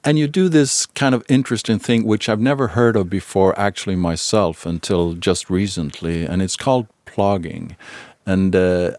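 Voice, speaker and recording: A man's voice: sits low, a little mellow, no echo. A man hosting a casual conversational interview, often repeating words as he forms his questions. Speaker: podcast host, interviewer.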